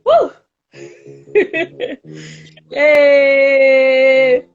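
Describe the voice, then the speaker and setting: A high-pitched woman hosts a live online chat show. She talks playfully and laughs a lot, and music plays clearly behind her voice. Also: expressive, very slow, highs cut off. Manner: chatty, playful, laughs often. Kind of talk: casual live-stream chat.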